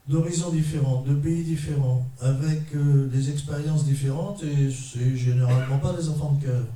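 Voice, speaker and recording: Middle-aged man, conference speaker, very dark tone, emphatic short phrases, echoey hall, distant mic. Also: mid-range voice. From France. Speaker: trade unionist speaker. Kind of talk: conference talk to an audience. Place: large echoey parish hall.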